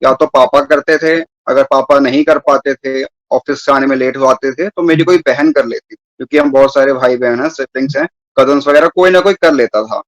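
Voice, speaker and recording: A man's voice: medium pitch, fairly quick, few pauses, no room sound, rough recording. A man from New Delhi in his thirties, telling his personal story earnestly and at length as a guest on a video call. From New Delhi, India. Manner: earnest, rambling, mixes English words into speech.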